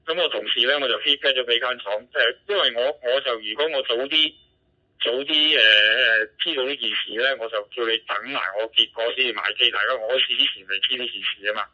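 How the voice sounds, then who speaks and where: A man with a Hong Kong Cantonese accent chatting casually as an amateur operator over a two-way digital radio. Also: quick pace. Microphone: radio transceiver microphone. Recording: muffled low-fidelity digital radio transmission.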